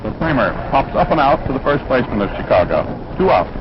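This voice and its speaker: Dull, noisy 1940s American radio baseball announcer. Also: male voice, medium pitch, big pitch swings, fast. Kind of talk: 1940s radio sports commentary. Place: ballpark broadcast position.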